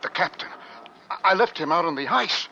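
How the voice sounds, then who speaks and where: An actor playing a desperate, exhausted Arctic sailor in a dramatic, halting, intense old American radio drama style. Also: male voice, sits high, animated, creaky, slight background noise, exaggerated voice. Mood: dazed, weak. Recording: muffled old radio recording under loud music.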